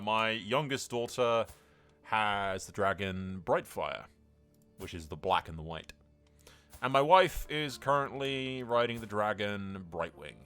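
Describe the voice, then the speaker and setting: Bright-voiced, low-pitched man swearing through game commentary. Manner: irreverent, frequent swearing. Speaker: host of a gaming roleplay playthrough. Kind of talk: casual game commentary with roleplay.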